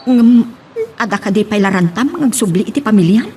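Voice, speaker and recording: A woman's voice: mid-range voice, animated, a little fast, mellow, a little hiss or hum, close to an everyday voice. A woman with an Ilocano Filipino accent playing a concerned, scolding friend in an audio melodrama, probing and emphatic. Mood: reproachful. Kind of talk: Ilocano radio-style melodrama acting.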